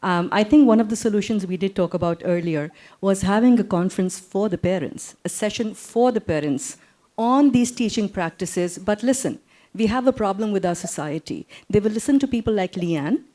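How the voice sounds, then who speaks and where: A woman's voice: mid-range voice, expressive, normal speed, mellow. A woman, an academic making an impassioned, emphatic case on a panel and repeating phrases for weight. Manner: emphatic, repeats phrases, mixes English and Urdu.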